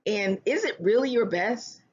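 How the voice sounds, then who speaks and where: A speaker giving earnest relationship advice in a self-help talk, often restarting phrases and slowing down to stress a point. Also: medium pitch, expressive, husky, highs cut off. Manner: earnest, repeats and restarts phrases, slows for emphasis.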